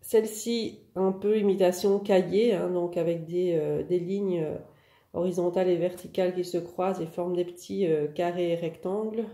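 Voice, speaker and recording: A woman with a head cold talks through new paper collections for her online craft shop, chatty and full of fillers. Her voice is very dark and mellow. The room adds a noticeable echo. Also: fairly low pitch, fairly even intonation, normal speed. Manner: chatty, many "euh" and "donc" fillers. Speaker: online craft shop owner presenting products. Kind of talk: casual product showcase.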